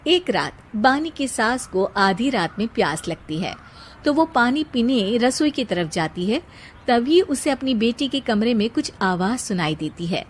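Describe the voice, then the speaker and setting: Fairly high-voiced woman story narrator. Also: very animated, unhurried, slight background noise.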